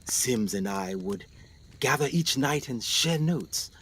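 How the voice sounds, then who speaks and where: A man's voice: mid-range voice, lively intonation, takes it slowly, slightly rough, full character voice. A man playing an English explorer doctor in a radio play, theatrical, full of awe and haunted brooding. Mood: brooding, reflective. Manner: theatrical, breathless wonder, swings to hushed brooding.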